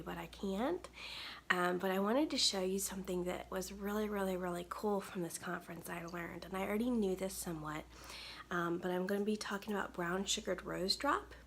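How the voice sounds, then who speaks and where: A woman in her thirties with a soft, weak, breathy voice, chatting casually through a makeup tutorial at home to a close webcam. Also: on the low side, a little hiss or hum. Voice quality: gravelly, breathy. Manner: soft-spoken, chatty, many ums. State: weak, tired voice. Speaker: makeup brand owner. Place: home studio, indoors.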